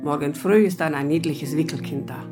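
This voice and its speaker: An actress playing a motherly, sorrowful older matron in a radio drama, gentle but firm. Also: low-pitched, fairly quick, music in the background, natural voice. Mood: gentle firmness. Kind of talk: German radio drama acting.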